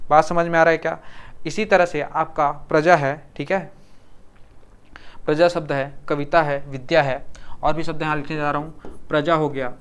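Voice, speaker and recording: A man's voice: a little high, smooth. A man with a Chhattisgarh Hindi accent teaching a grammar lesson for an exam, repeating words and often checking that the class follows.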